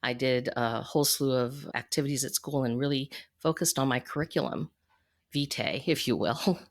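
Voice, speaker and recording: Low-key woman telling her story candidly. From United States. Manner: candid, measured, thoughtful. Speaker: podcast guest, financial coach. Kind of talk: reflective personal storytelling in an interview.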